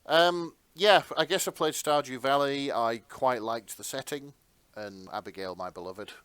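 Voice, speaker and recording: A man's voice: mid-range voice, a little slow, fairly bright tone, smooth. A man co-hosting a podcast in casual banter, restarting sentences and swearing with emphasis. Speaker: podcast co-host. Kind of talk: casual banter among friends.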